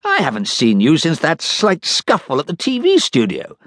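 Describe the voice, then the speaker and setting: 男性が演じる 尊大で気取った詐欺師の紳士. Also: male voice, on the high side, huge pitch swings, normal speed, fairly bright tone, no echo, studio quality, voice pushed up, full character voice. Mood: blustering. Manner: plummy officer-like bluster. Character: pompous conniving male con man garage owner.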